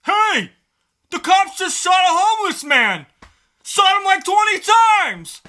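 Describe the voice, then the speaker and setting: A man's voice: very high-pitched, sing-song, slow pace, pushed and bright, pitched above the performer's own voice, exaggerated voice. A man playing a frantic, alarmed bus passenger in a mock dramatic scene.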